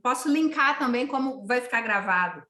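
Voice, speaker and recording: A woman hosting an online talk over a video call, speaking in a halting way with many fillers and restarts. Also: fairly high pitch, lively intonation, sharp tone. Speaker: host of an online lecture series. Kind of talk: casual video-call chat. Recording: roomy video call audio.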